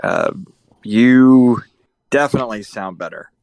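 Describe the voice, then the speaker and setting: A man's voice: medium pitch, measured pace, a little mellow, no room sound. A man hosting a casual podcast, rambling and joking with his co-host, often restarting his sentences.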